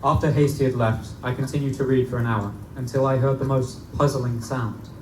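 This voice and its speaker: A man's voice: mid-range voice, fairly even intonation, fairly quick, noisy background, close to an everyday voice. A man playing a young Victorian medical student who narrates a gothic horror tale in formal, old-fashioned language before a live audience. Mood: suspenseful. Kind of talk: live dramatic reading of gothic pulp horror. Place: live performance in a bookstore. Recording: echoey distant live room recording, loud guitar.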